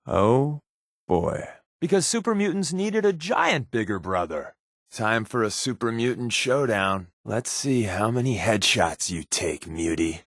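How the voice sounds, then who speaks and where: An actor playing a wisecracking undercover agent in a post-nuclear wasteland, speaking with wry, sarcastic quips as video game companion lines. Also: male voice, medium pitch, fairly bright tone, no room sound, studio quality. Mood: wary, quippy.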